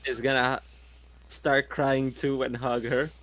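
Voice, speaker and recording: A man's voice: mid-range voice, little pitch movement, takes it slowly, a bit bright, no echo, poor audio. A man playing a tabletop role-playing game, chatting casually and playfully.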